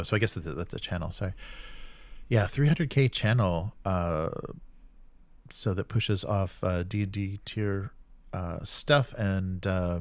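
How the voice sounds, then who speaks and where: A man's voice: deep voice, a little mellow, no echo. A man hosting a casual live stream, rambling and thinking aloud as he reacts to chat comments.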